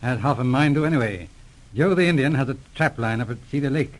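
An actor playing a courteous, level-headed Mountie sergeant in a 1930s radio drama, polite and matter-of-fact. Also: male voice, medium pitch, animated, fast, close to an everyday voice.

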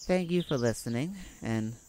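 A woman voicing a kindly, hesitant male neighbor in an ASMR roleplay, telling a story in a halting, folksy way. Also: rumbling low, animated, dark tone, slight background noise. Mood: wistful, folksy. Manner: folksy, halting, sighing between thoughts.

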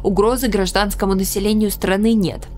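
A young woman from Ukraine, speaking straight to camera with a studio mic in a small room, narrating her own story in an earnest, measured, solemn way. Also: mid-range voice, fairly even intonation, darkish tone. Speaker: channel host. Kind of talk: scripted personal narration. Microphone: studio microphone in frame.

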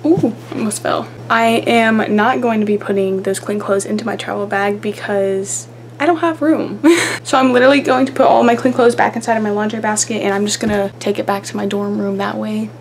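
A woman's voice: medium pitch, fairly even intonation, fairly quick, a little hiss or hum. A young woman chatting to her viewers in a casual vlog, giggly and talkative, recorded on a handheld camera in a small bedroom. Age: young adult. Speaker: vlogger.

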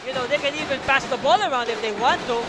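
Male play-by-play caller, very high and excitable, noisy arena broadcast behind him. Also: fast talker. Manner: energetic, rises to shouts on big plays. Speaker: play-by-play commentator. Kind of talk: live basketball commentary. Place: courtside booth in a packed arena.

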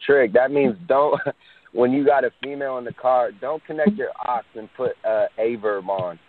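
A man's voice: mid-range voice, moderate pace. A man co-hosting a podcast in casual chat, teasing the others with laughing interjections. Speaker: podcast co-host. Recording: tinny phone-like audio.

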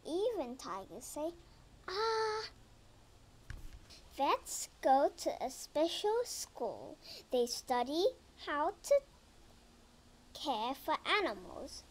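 A girl reading a children's picture book aloud, slowly and carefully, sometimes stumbling over words. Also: high voice, big pitch swings. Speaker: reader.

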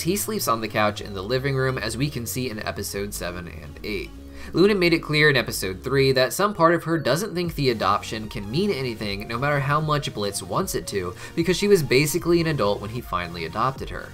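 A man's voice: medium pitch, a bit bright. A man hosting a cartoon analysis channel, giving scripted video-essay narration in an analytical, theorizing manner. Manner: analytical, theorizing in first person. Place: voice-over over cartoon clips.

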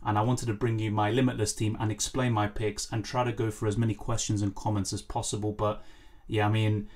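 A man with a hoarse, lost voice, casually chatting on a live stream and answering viewer questions over a faulty microphone. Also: lowish voice, little pitch movement, brisk, a little mellow. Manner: apologetic, rambling, answers chat questions. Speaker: fantasy football streamer. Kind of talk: casual live stream chat, reading comments.

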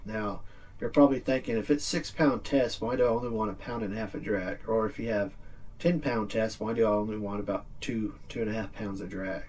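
A middle-aged man with a husky voice giving a casual, plain-spoken instructional demonstration, recorded indoors from a few feet away. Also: on the low side, steady tone, brisk, a little mellow, distant mic. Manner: plain-spoken, informal explaining. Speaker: fishing video presenter. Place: small indoor room with green screen backdrop.

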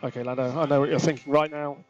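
A man working as a race engineer, giving measured, clipped, often repeated instructions over team radio. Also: a little high, little pitch movement, highs cut off. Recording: noisy team radio link with clicks.

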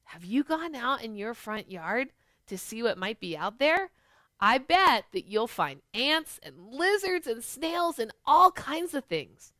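Animated high-voiced woman hosting children's storytime. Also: takes it slowly, no echo. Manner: animated, addresses small children with questions. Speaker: children's pastor and storytime host. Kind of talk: storytime for preschoolers, chat and picture-book reading. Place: small living room at home, on a couch.

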